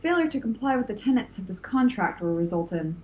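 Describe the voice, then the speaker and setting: A woman playing a commanding young supervillain in an audio drama, formal and deliberate. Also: mid-range voice, very animated, darkish tone, muffled recording, close to an everyday voice. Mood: coolly threatening. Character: commanding, coolly threatening young supervillain leader. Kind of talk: audio drama villain acting. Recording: faint noise and room ambience.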